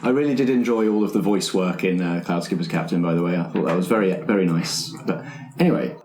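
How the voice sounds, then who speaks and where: A man's voice: sits low, fast talker, a little mellow. A man hosting casually as he introduces players, chatty and a little hesitant. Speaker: podcast host. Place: room around a table. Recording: roomy, echoing group recording.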